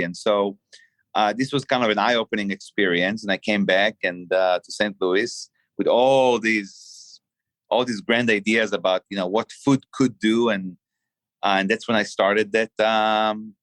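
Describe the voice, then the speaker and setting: A middle-aged man from Israel, a chef and restaurant owner, talking through his story in a halting way with many fillers and restarts on a podcast. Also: on the low side, fairly bright tone, no room sound. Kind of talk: casual podcast interview.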